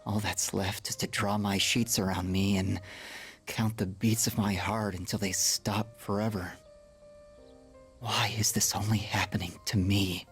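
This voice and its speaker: A man voicing a frail, dying young man in a video game, weary, breathy and halting, with melancholy resignation. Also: lowish voice, flat delivery, soft background music. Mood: weak, despairing. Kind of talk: English video game dub acting, dramatic monologue.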